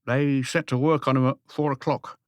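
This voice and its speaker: A man's voice: medium pitch, little pitch movement, normal speed, no room sound, clean studio sound, voice pushed up, lightly acted. A man voicing a grumbling, informal police inspector on guard duty in an audiobook. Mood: grumbling, confiding. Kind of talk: audiobook character voice.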